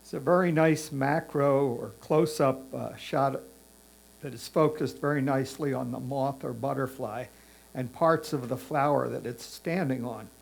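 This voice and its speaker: A man with a creaky, gravelly voice and a middling pitch judges photographs. He speaks in a measured, tactful way, pausing as he picks his words for each critique. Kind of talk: spoken image critique.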